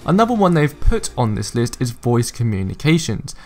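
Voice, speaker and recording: A man's voice: mid-range voice, sing-song, normal speed, a little mellow. Upbeat young man running down game news. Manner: upbeat, eager, opinionated rundown. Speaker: gaming channel host. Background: faint background music.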